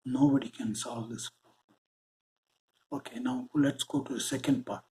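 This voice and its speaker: A man with an Indian English accent, giving a slow, earnest spiritual monologue with deliberate pauses. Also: medium pitch, steady tone, darkish tone. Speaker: spiritual channel host.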